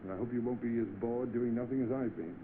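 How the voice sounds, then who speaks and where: An actor playing a rich, idle, determined English gentleman in a vintage British film, with polite, clipped upper-class speech. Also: mid-range voice, quick pace, close to an everyday voice. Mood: brisk, courteous. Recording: muffled, noisy old film soundtrack.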